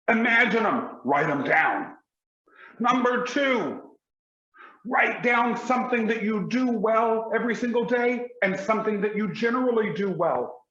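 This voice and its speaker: A man, giving a motivational speech with emphatic, deliberate pauses. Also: sits high, fairly bright tone, roomy sound, dull audio. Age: 40s. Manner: emphatic, slow deliberate delivery with dramatic pauses. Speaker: motivational speaker.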